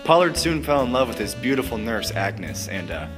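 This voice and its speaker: A man's voice: medium pitch, big pitch swings, moderate pace, over music. A man reading scripted story narration as a narrator. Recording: echoey hall, clear background noise.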